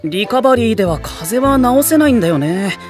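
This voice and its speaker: A woman's voice: a little high, lively intonation, fairly quick, over music, lightly acted. A woman playing a polite, easygoing teenage boy hero in an anime audio drama, speaking casually and considerately. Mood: modest.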